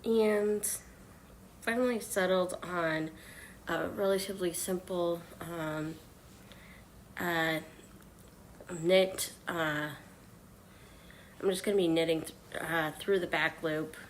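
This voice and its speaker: A hoarse woman chatting softly on a live stream while she knits, with many pauses and fillers. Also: lowish voice, fairly even intonation, a little hiss or hum. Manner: halting, many ums and uhs. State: hoarse from illness. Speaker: knitting livestreamer. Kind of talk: soft-spoken live stream chat.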